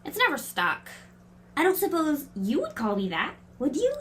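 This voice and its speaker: Woman playing a high, animated, confident girl. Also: moderate pace, slight background noise, exaggerated voice. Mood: breezy, chatty. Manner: breezy, matter-of-fact, emphatic questions. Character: brisk, confident, quirky girl full of practical energy. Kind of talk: family audio drama acting.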